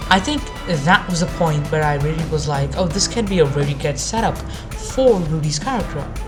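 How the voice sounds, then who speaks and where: A woman's voice: low voice, normal speed. A woman giving an informal, sweary video rant as a reviewer, in a blunt and sarcastic manner. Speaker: anime review video maker. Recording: music behind the voice. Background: background music.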